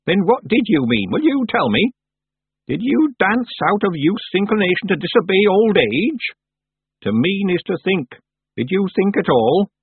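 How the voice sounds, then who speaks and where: A man voicing a stern, sharp-tongued old witch, with a husky voice on a thin, phone-like line. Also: high-pitched, sing-song, unhurried, no echo, pitched above the performer's own voice, exaggerated voice.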